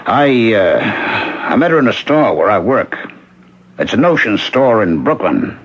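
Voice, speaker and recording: An actor in a 1970s radio drama playing an earnest former hobo, insistent and pleading in manner. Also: male voice, fairly low pitch, sing-song, unhurried, slightly rough, exaggerated voice. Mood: calm, explanatory. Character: earnest, persistent working man, former hobo. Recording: dull, noisy old radio recording.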